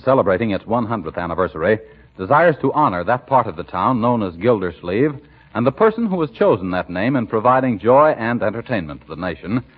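Animated American 1940s radio announcer, slightly husky. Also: male voice, medium pitch. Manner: polished, emphatic salesmanship. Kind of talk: 1940s scripted radio announcing and sponsor pitch. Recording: muffled vintage 1940s radio recording.

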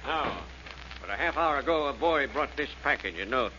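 Bright-voiced police subordinate. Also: male voice, mid-range voice, animated, moderate pace. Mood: matter-of-fact. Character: dutiful male police subordinate reporting to his boss. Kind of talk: 1940s radio detective drama acting. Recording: muffled, noisy old radio recording.

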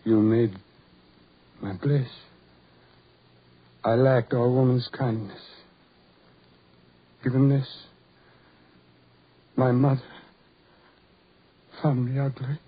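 An actor playing a bitter, despairing, ugly man in a theatrical, poetic 1940s radio drama style, with a husky voice. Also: mid-range voice, steady tone, extremely slow, long pauses, heavily acted. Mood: wistful, poetic. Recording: muffled old 1940s radio recording.

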